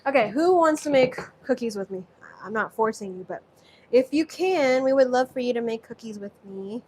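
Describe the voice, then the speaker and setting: A woman's voice: on the high side, lively intonation, moderate pace, a little mellow, a little hiss or hum. Chatty woman from Southeast Asia, cooking live. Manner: chatty, reads viewer comments aloud, rambling with many restarts. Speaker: live-stream cooking host. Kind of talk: casual live-stream chat while cooking. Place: home kitchen.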